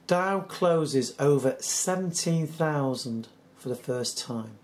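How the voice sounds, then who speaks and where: A hoarse British man hosting a solo commentary, reading out news articles in an earnest, skeptical, measured way. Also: a little high, animated. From United Kingdom. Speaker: channel host.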